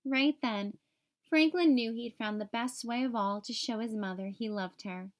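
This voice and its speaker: Female storybook reader, high-pitched voice, expressive delivery for a young audience. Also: normal speed. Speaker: read-aloud host for young children. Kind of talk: children's storybook read-aloud narration.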